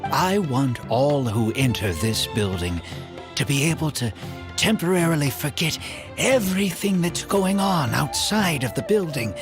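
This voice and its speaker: A man's voice: fairly high pitch, sing-song, measured pace, over music. An actor playing a humble, courteous older man, an earnest former mercenary, in video game dialogue.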